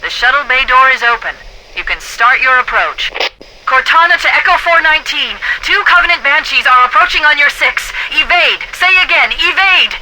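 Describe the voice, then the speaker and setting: A woman's voice: sits high, normal speed, sharp tone, low-quality recording. A woman voicing a female military AI in a video game, making clipped, businesslike radio calls through a radio filter. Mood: urgent. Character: female military AI radio dispatcher, sharp and urgent. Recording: radio transmission with faint noise.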